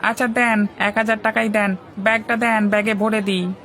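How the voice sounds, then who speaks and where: A man plays a village market seller in a cartoon, with a high, bright, forceful voice. He is eager and persuasive, keen to please his buyers. A faint crowd murmurs behind him. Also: level tone, normal speed, a little hiss or hum. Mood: persuasive, obliging. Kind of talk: cartoon dialogue acting.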